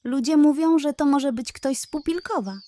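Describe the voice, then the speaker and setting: Timid young storybook bunny, voiced by a woman, high, soft, shy. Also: moderate pace, no room sound, close to an everyday voice. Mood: nervous. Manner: shy, nervous.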